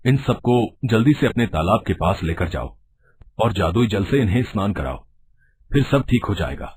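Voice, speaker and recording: A man playing an authoritative, principled villager in an animated storybook tale, with a husky voice. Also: fairly low pitch. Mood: urgent, commanding. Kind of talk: animated storybook character acting.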